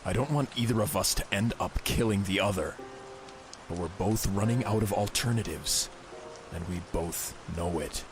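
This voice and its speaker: A man voicing a grim, weary masked vigilante in a comic dub, speaking in a measured, stern, earnest way. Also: sits low, music in the background, natural voice. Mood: grave appeal. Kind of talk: dramatic comic dub voice acting.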